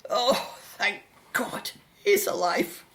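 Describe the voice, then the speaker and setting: A woman's voice: mid-range voice, takes it slowly, vocal fry, fairly bright tone, slight background noise. A woman acting in a radio drama as an anxious, devoted mother, speaking with tender, emotional care. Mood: overwhelmed relief.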